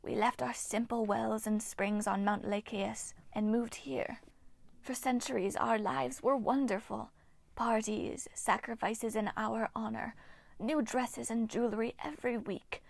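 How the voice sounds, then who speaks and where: Woman voicing a menacing ancient nymph, whispered, slow, hissing, storybook reading. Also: a little high, steady tone, gravelly, dry recording. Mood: reminiscing. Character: bitter ancient female water nymph, hungry and menacing.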